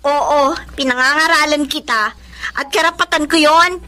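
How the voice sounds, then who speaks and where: A woman's voice: high-pitched, moderate pace, pushed and bright. A young woman with a Filipino accent acting an earnest, concerned girlfriend in an emotive radio drama. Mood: worried, scolding.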